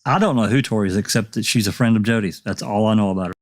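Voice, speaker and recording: Male host, low voice, casual and halting, talking through recordings. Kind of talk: casual discussion of recorded calls.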